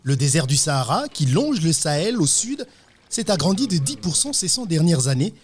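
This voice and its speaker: A man reading scripted narration for a news report as the reporter. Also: on the high side.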